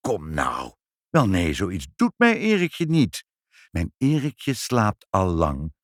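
A man's voice: sits low, huge pitch swings, takes it slowly, no echo, clean studio sound, heavily acted. A man voicing an indignant, doting lady who protests emphatically in a children's story. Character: indignant, doting mother, a proper lady in denial.

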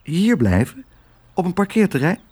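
A spirited little storybook girl, voiced by a man reading aloud, pitched high and swinging widely up and down. She is direct and plain-spoken, with a slightly husky edge. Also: moderate pace, full character voice. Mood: doubtful. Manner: lively, direct, exclamatory.

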